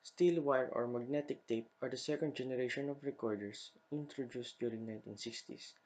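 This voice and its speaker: A man's voice: medium pitch, fairly quick, a little mellow. Young man reading out a scripted presentation. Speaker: student presenter. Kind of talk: scripted slide narration, read aloud.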